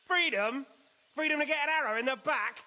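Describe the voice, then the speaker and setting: An actor playing a defiant, sly villain in a video game, projecting loudly with sardonic, taunting delivery. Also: male voice, extremely high pitch, brisk, big-room sound, heavily acted. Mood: scornful. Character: defiant, sly male villain holding a castle. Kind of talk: cinematic video game acting.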